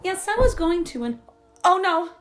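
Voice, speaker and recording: A woman's voice: very high voice, very animated, normal speed, background noise. An actress playing a proper, skeptical young woman caught up in an audio-play adventure. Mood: flustered. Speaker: actor.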